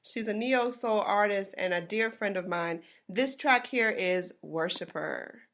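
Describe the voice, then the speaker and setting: A woman's voice: mid-range voice, measured pace, a bit bright. A woman hosting a casual talk show, laughing easily and repeating phrases for emphasis. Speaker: host.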